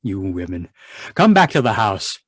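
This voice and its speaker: Stern, impatient obsessive husband, played by a man; husky voice, big swings in pitch, old-time radio manner. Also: sing-song, measured pace, dry recording, clean studio sound. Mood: irritable. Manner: imperious, dismissive, impatient. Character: stern, obsessive, irritable husband tending experimental roses. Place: live video call performance. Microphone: video call microphone.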